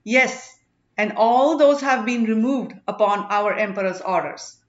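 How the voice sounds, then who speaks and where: A woman voicing a stern male elder who addresses a crowd in emphatic, deliberate phrases for an audiobook. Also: a little high, echoey room, highs cut off, natural voice. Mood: stern, accusing.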